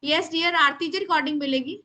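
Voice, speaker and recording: High, bright, loud woman teaching. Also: a little fast. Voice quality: loud, strong voice. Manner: instructive, emphatic, addresses listeners by name; mixes English words into speech. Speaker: astrology remedies teacher. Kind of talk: live online class, informal explaining.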